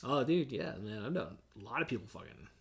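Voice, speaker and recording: A man's voice: mid-range voice, very animated, brisk, vocal fry. A man in his forties hosting a podcast, telling stories in a loose, laid-back way, laughing often and peppering his talk with swearing and "dude". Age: 40s. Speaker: podcast host. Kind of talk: casual chat.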